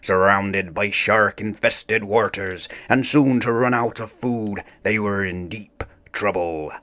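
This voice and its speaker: A man reading a children's story aloud in a theatrical, deliberate way. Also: fairly low pitch, a bit bright. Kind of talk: dramatic children's story narration.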